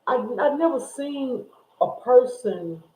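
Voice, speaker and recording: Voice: sits high, expressive, takes it slowly, dark tone. A speaker in their sixties chatting casually to viewers, rambling and repeating phrases as they go. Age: 60s. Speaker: YouTube vlogger. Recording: echoey room over a tinny phone-like line.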